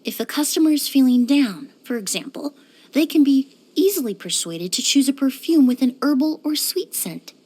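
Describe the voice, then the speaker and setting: A woman playing a composed, courteous young woman perfumer in a video game, speaking in a polite, measured, softly formal way. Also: high-pitched, sing-song. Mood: courteous, inquisitive. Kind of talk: scripted video game character lines.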